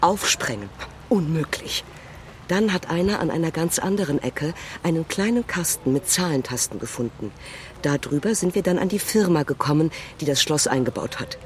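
A talkative male witness played by an actor in a radio drama explains himself at length in a conversational way. His voice sits fairly high, with clear ambient noise around it. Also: animated, moderate pace, close to an everyday voice. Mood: explanatory. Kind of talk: German audio drama dialogue.

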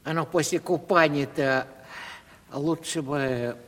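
An actor playing a shabby, argumentative comic actor of wounded pride and mocking tongue in a classical radio comedy. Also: male voice, a little high, slow, slight background noise. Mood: cheeky, mocking. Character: touchy, cheeky shabby comic actor forced to play lackey. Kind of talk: Russian classical stage comedy, radio play. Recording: reverberant 1970s radio drama recording.

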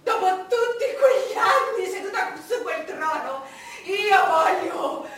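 A woman playing an imperious, grandiose queen in a declamatory theatrical monologue, defiant and full of dramatic pauses. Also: very high voice, lively intonation, a bit bright, a faint crowd, slight background noise, heavily acted. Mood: demanding. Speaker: stage actress. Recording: echoey, distant stage recording with music.

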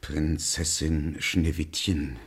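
Slow, husky, anxious huntsman. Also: rumbling low, level tone, full character voice. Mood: grave, reluctant. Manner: halting, solemn, pleading. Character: anxious, reluctant royal huntsman, obedient but soft-hearted. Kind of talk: fairy-tale radio play acting.

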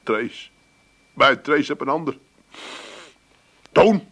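An actor playing a flustered, plaintive grown son with an Amsterdam accent in a radio comedy. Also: male voice, medium pitch, animated, slow, bright, forceful tone, full character voice. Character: hapless, whiny grown son, easily upset.

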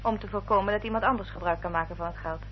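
An actress playing a sensible, protective elder sister in a radio drama, composed and articulate. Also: female voice, medium pitch, very fast, natural voice. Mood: practical, inquiring. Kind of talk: radio drama acting and narration. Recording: dull old radio recording, faint hum.

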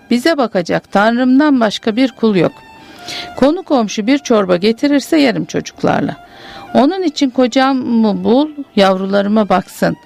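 A woman's voice: on the high side, gravelly, a little mellow, pitched above the performer's own voice. A woman reading aloud the letter of a poor, desperate wife, in a pleading, plain-spoken manner. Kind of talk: literary reading.

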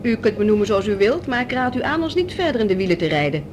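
Woman playing icy female alien leader, high animated voice, formal threats and clipped orders, noisy old radio drama tape. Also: fast, natural voice. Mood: icy menace. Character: cold commanding female leader of alien mind-controllers. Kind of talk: Dutch radio drama acting.

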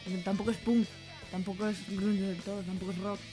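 A woman's voice: mid-range voice, flat delivery, fast talker, warm tone, soft background music. A woman from Argentina with a Madrid accent, a band member chatting bluntly in a radio interview. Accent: Madrid Spanish. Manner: blunt, chiming in with short agreements. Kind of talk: casual radio interview chat.

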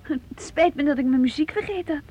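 High-voiced timid girl played by a woman. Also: fast talker, mellow, faint music behind, lightly acted. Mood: anxious, apologetic. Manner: apologetic, stammering, childlike. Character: timid, eager young girl, a music pupil. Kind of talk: radio play acting. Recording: muffled, hissy old radio play recording.

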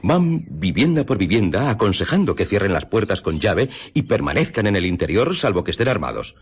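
An actor playing a dutiful, slightly hesitant police chief in a Spanish radio drama, deferential and businesslike, with a Castilian accent. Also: male voice, lowish voice, big pitch swings, a little fast, slight background noise. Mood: businesslike, reporting.

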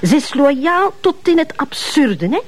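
Flemish lady cop, chatty and down to earth, very lively intonation. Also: high voice, a little slow. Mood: reasoning. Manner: colloquial, hesitant, questioning. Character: candid, down-to-earth female police detective. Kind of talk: TV police drama dialogue.

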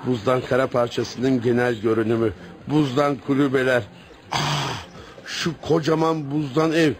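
An actor playing a jovial, admiring newspaper colleague and old friend in a radio play, with a chummy manner. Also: male voice, mid-range voice, fairly even intonation, unhurried, natural voice. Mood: friendly, impressed. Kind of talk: radio theatre acting.

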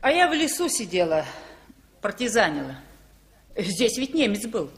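An actress as a kindly night cashier in a 1960s Soviet radio play. She is brisk and homely, with a mid-pitched voice and a reassuring, down-to-earth manner. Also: very animated, close to an everyday voice. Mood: rueful, matter-of-fact.

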